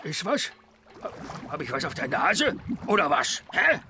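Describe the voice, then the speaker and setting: An actor playing a grateful, bumbling rescued pirate in a children's radio play, sounding puzzled. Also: male voice, fairly high pitch, very animated, a little hiss or hum, exaggerated voice. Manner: slow, puzzled. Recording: loud music behind.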